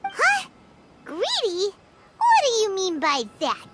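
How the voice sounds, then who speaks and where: A woman's voice: very high voice, huge pitch swings, slow pace, over loud music, full character voice. A woman voicing a tiny, perky, bold fairy-like girl companion in a video game, childlike and cheeky. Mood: puzzled.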